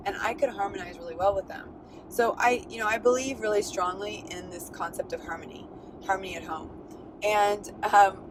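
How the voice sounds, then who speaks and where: A middle-aged woman hosting her own podcast, talking candidly in a chatty way with many fillers and restarts, with a lower voice. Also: noisy background. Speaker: podcast host. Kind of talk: casual solo podcast monologue.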